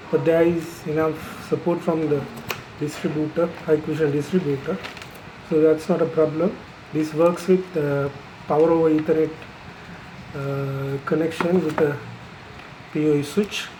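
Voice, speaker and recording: A person giving an unscripted, explanatory product demonstration in a halting, matter-of-fact way, pausing mid-phrase. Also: mid-range voice, steady tone, background noise. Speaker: installer presenting a product tutorial.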